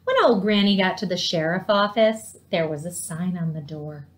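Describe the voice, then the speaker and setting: A middle-aged woman reading a children's storybook aloud in an animated way, with dramatic, drawn-out emphasis. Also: on the low side. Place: living room at home, indoors.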